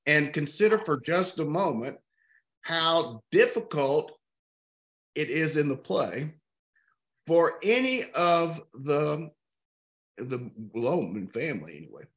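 A man in his fifties from East Texas leading a literature discussion over a video call, expressive and thoughtful, stretching out key words. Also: fairly high pitch, slow pace, long pauses. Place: home room with art on walls.

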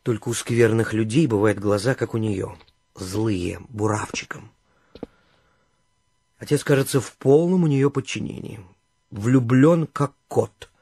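Pensive returned wanderer for radio play: low, creaky voice, reflective and unhurried inner monologue. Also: male voice, darkish tone, dry recording. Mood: disapproving musing. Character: world-weary man returned home after twelve years, pensive.

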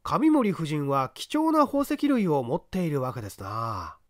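Man acting male newspaper reporter, brisk, businesslike, higher voice, lively intonation, audiobook dialogue. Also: no room sound, studio quality. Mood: deliberately polite. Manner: curt, businesslike, then feigned politeness.

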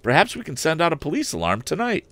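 Man doing an earnest high-voiced young inventor. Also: huge pitch swings, fast, fairly bright tone, no echo, exaggerated voice. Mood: concerned, soothing. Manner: soothing, questioning, eager. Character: earnest, cautious young male inventor, a son.

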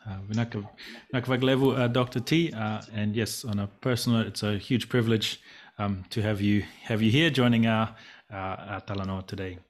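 A man in his forties hosting an online panel, introducing guests courteously with pauses between phrases. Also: on the low side, fairly even intonation. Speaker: host and interviewer. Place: indoors on a video call. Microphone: computer mic on video call.

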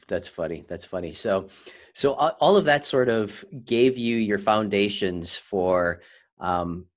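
Low-voiced man interviewing, halting. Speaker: podcast host, interviewer. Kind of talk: casual interviewing.

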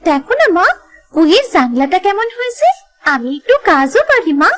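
Very high-pitched chatty little girl. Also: sing-song, brisk, soft background music. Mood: cheeky. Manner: talkative, answers back. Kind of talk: cartoon voice acting.

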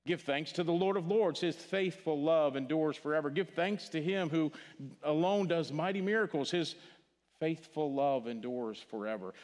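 An older man from Missouri with a Missouri accent, preaching a funeral sermon in a church sanctuary, earnest, repeating words and dropping to a hush on key phrases. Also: on the high side. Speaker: pastor. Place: large church sanctuary stage. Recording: long hall reverb from the church.

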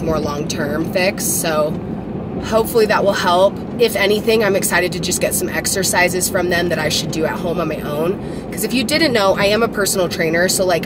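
A young woman with a slightly raspy voice, chatting casually to a dashboard camera while she drives, rambling with lots of "like". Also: on the low side. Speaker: vlogger. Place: inside a car while driving. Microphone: camera mounted on the dashboard. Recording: road and engine noise in a car. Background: car noise.

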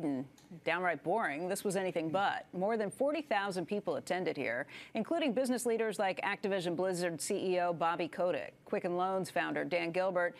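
A woman in her thirties anchoring business news on TV, with a crisp scripted delivery, then interviewing a guest. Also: fairly low pitch. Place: TV studio.

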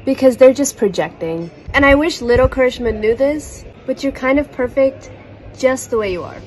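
A woman's voice: high-pitched, expressive, normal speed, darkish tone, poor audio. A young woman whose first language is Hindi, telling a personal story to a phone held at arm's length on a balcony, candid and indignant. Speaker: content creator. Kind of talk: casual storytelling to phone camera. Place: outdoors on a city balcony.

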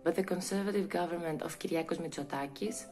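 A young woman from Greece speaking in a serious, deliberate way into a webcam indoors. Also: on the low side, a little mellow, faint music behind. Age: young adult. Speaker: interviewee. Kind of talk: prepared video statement. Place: room indoors.

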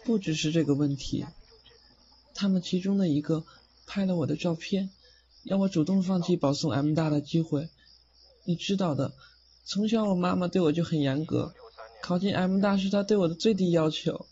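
A man as a timid, high-voiced young ghost. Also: normal speed. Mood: sad, confessing. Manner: soft, hesitant. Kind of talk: Chinese audio drama acting. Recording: muffled lo-fi, faint noise, clicks.

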